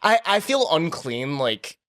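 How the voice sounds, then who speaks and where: Loud-voiced man, lively candid chat. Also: on the high side, slow pace. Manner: candid, animated, loud speaking voice. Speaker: podcast co-host. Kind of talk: casual conversation about relationships.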